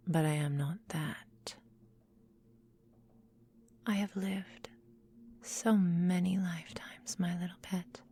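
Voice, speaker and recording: A woman playing a centuries-old vampire mistress in a whispered ASMR roleplay, breathy and close to the microphone, condescending, possessive and coolly doting, with formal, deliberate diction. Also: fairly low pitch, dark tone, slight background noise.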